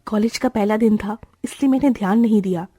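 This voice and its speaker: A woman's voice: mid-range voice, a little fast, warm tone, slightly rough, no echo, close to an everyday voice. A woman voicing a spirited, kind-hearted young woman from a rich family who pleads and argues with feeling. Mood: earnest. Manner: pleading, emotional.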